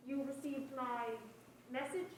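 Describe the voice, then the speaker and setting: A woman's voice: sits high, measured pace. A young woman playing a formal, guarded, mysterious femme fatale in a noir stage play. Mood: cool, guarded. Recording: very echoey hall, distant mic, faint noise.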